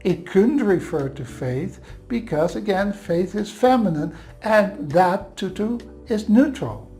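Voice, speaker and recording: A man's voice: high voice, expressive, slow, dark tone, faint music behind. Dutch-accented man teaching Bible grammar. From Netherlands. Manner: earnest, explanatory, reassuring asides.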